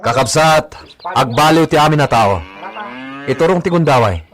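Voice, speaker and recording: Radio serial rallying figure: reassuring, lively melodic delivery, slightly raised pitch. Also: male voice, normal speed. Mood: reassuring, earnest. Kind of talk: radio drama acting.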